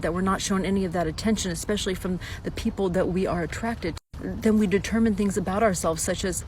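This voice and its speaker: A woman's voice: medium pitch, slight background noise. Breathless woman giving advice on a hike. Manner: earnest, explaining step by step. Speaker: relationship advice creator. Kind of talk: casual advice talk. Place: outdoors on a hike.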